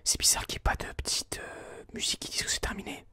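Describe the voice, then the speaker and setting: Whispering ASMR gamer, close and bright. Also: fast talker, no echo. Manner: informal, hesitant, thinking aloud. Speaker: video game streamer, ASMR host. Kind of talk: whispered ASMR gameplay commentary. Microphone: close binaural microphone.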